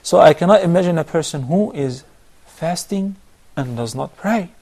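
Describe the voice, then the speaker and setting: A man, a sheikh on a call-in show, giving measured, step-by-step religious advice. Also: a little high, lively intonation, a little mellow. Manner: measured, instructive, lists steps. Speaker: sheikh answering viewer questions.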